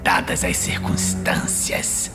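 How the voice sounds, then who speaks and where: A man's voice: low voice, monotone, slow, over loud music, pitched below the performer's own voice. Casting: male narrator playing bitter, proud warlock villain, scornful and defiant, over a musical score. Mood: sullen defiance. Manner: snarling, scornful. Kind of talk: dramatic fantasy audiobook acting.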